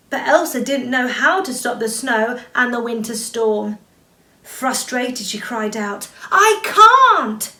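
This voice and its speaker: In a roomy, slightly distant recording, a woman reads a bedtime story to children. Her voice sits a little high. She tells it expressively, stretching words for dramatic emphasis. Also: fairly bright tone. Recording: roomy echo, distant from the mic.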